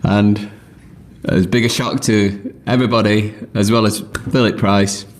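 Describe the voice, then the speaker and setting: A man's voice: on the low side, steady tone, normal speed, slight background noise. A man telling a chatty anecdote in a recorded clip. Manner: chatty, laughing storyteller. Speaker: interviewee in a played clip.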